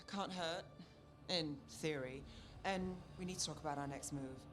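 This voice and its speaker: A low, composed mage companion. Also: female voice, measured pace, over music. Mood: dry, composed. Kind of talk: fantasy video game acting.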